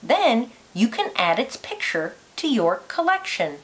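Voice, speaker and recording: A woman reading a children's educational picture book aloud like a teacher, phrasing it carefully and deliberately for young listeners. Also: on the high side, sing-song, fairly bright tone, poor audio.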